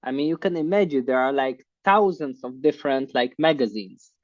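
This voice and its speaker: A man hosting an online class on a video call, talking in a halting way with many fillers. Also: medium pitch, no echo. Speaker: online course host. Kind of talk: casual online class talk. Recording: muffled video call audio.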